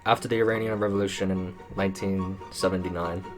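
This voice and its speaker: A man's voice: low-pitched, fairly even intonation, quick pace. A young man in casual group chat, explaining the facts with stops, restarts and fillers. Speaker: panel member. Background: music.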